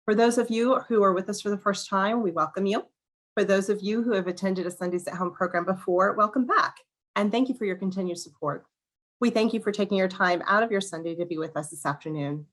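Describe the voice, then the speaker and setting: A woman with a slightly crackly, hoarse voice hosting an online museum program, reading a welcome and introducing a guest, often clearing her throat and restarting words. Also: mid-range voice, a little fast. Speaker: museum education director hosting a webinar. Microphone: video call.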